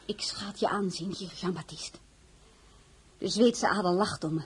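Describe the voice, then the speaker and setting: An actress playing a proud, principled foreign-born crown princess in a radio drama, speaking with formal, deliberate dignity. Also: female voice, fairly low pitch, lightly acted. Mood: earnest, resolute. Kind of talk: dramatized novel, radio play acting. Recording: clearly noisy recording.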